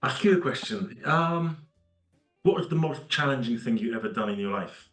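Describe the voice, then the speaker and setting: A man's voice: medium pitch, lively intonation, normal speed, a bit bright, echoey room, faint music behind. A man answering as an interview guest, talking in a hesitant, laid-back and chatty way. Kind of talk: casual video call interview.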